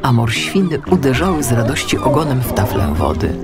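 A man reads a scripted children's story as narrator. His medium-pitched voice moves up and down a lot, in dramatic, expressive storytelling. Music plays clearly behind him. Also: a little fast. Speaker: audiobook narrator.

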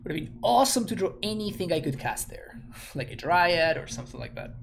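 A man's voice: fairly high pitch, big pitch swings, fairly quick, gravelly, slight background noise. Laid-back man with an Argentinian accent. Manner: laid-back, thinking aloud, chatting with viewers. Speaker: streamer. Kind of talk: casual commentary while playing a card game. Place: home room at a desk. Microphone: headset.

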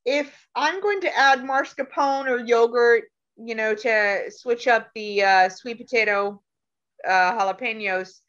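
An older woman taking part in a live online cooking class from her home over a webcam, asking questions with casual pauses. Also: fairly high pitch, a bit bright. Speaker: class participant. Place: home room, indoors. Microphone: webcam on video call. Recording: dull lo-fi video call audio.

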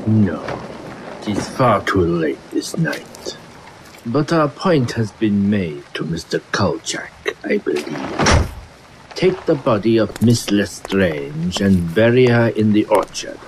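A man's voice: fairly low pitch, very animated, slow pace, noisy background. A man playing a pompous, menacing vampire master in a horror audio drama, speaking formally and grandly. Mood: cold, commanding. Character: sinister male vampire master, commanding, grandiose.

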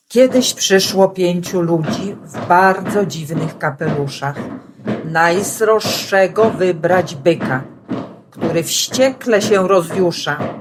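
A woman's voice: on the low side, noisy background. A woman storyteller narrating a rhymed children's storybook.

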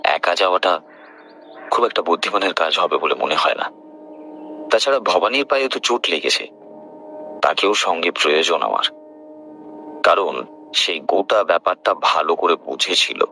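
An extremely low-voiced tantric played by a man tells a horror story. He is grave and knowing, with a gravelly creak and long, deliberate pauses. A noisy ambience sits clearly behind him. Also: lively intonation, pushed and bright, heavily acted. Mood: earnest, resolute. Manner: slow, deliberate, dramatic pauses. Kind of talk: dramatised horror audio story narration.

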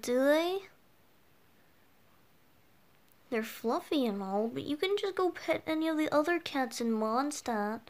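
A woman voicing a flustered, bashful boyish bard with cat ears in an ASMR roleplay, halting and stammering as the character talks to the listener. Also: sits high. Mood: flustered, ticklish. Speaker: audio roleplay performer.

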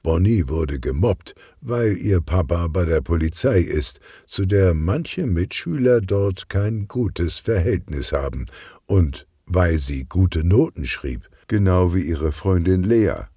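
A man voicing a friendly, caring mother in a children's audiobook, gentle and conversational. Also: low voice, animated, slow pace, breathy edge, no echo, clean studio sound, heavily acted. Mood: chatty, caring.

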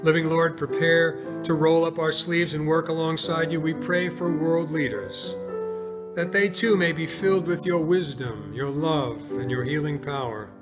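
An American man in his sixties, a pastor preaching and praying in a solemn, measured way with pauses between short phrases. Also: a little high, music in the background. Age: 60s. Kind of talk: sermon, scripture reading and prayer. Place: inside a church, near the altar.

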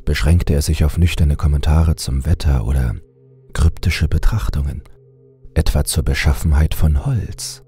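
A man's voice: a bass voice, normal speed, warm tone, slightly rough, faint music behind. A man reading a scripted horror story as narrator.

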